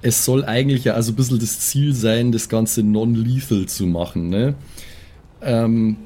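A man's voice: medium pitch, moderate pace, warm tone. A man chatting casually as a player in a tabletop role-playing game.

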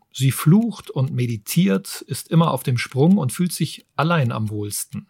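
A middle-aged man presents books as a podcast host. His voice sits at a medium pitch with a slightly rough, husky grain. The sound is dry and close, with hardly any room on it. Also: darkish tone, dry recording. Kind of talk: book review talk, partly scripted.

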